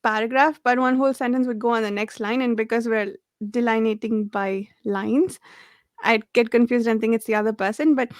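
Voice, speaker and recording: A woman's voice: fairly high pitch, fairly even intonation, brisk, no room sound. A woman in a casual book discussion, talking in a rambling, enthusiastic way.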